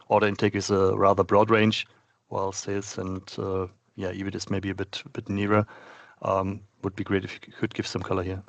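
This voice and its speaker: Soft-spoken gravelly analyst, a man. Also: lowish voice, no room sound. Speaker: analyst asking questions. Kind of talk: earnings call question.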